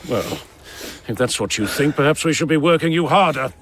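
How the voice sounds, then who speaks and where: An actor playing a haughty, domineering husband and slave owner in an audio drama, imperious and contemptuous. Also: male voice, fairly high pitch, lively intonation, a little fast, fairly bright tone. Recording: clear background noise.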